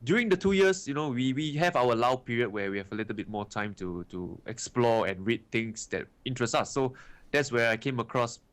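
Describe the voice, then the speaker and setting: A man's voice: medium pitch, expressive, a little fast, fairly bright tone. Young singaporean guy in his 20s, talks casual and chatty, lots of "right" and "you know" tags. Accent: Singaporean English. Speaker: guest trader. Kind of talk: casual interview answers.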